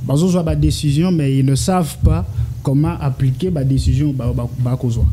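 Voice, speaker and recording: A man's voice: medium pitch, a little fast, mellow, slight background noise. A Congolese man with a Congolese French accent, a political analyst answering interview questions with rhetorical questions and stammered repeats.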